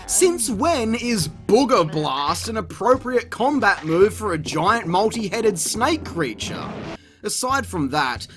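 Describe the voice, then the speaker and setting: A man's voice: high voice, a bit bright. A man giving a sarcastic, exasperated, sweary comedic review as a voice-over. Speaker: video reviewer.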